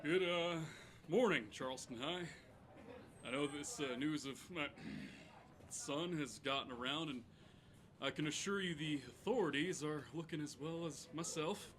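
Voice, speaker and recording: Man playing a forceful, strained school principal. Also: a little high, a little slow. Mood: strained, formal. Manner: forceful, formal. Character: strained, worried father and high school principal. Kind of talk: audio drama acting.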